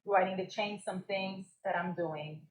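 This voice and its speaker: A woman's voice: mid-range voice, fairly even intonation, normal speed, echoey room, noisy background. A young woman from Jamaica, a business co-owner and guest on a live podcast, telling her story and laughing often. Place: stage at a live event.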